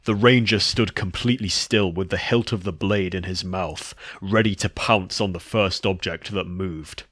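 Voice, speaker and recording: A man's voice: deep voice, steady tone, normal speed, fairly bright tone, no room sound. A man reading scripted story narration as the narrator, recorded on a close mic.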